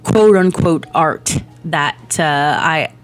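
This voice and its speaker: A woman in her thirties, hoarse from a cold, chatting casually in a rambling way with lots of ums and little laughs as she narrates a game build. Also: low voice, slow. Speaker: Sims speed-build creator. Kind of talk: casual voice-over chat over gameplay. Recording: soft background music.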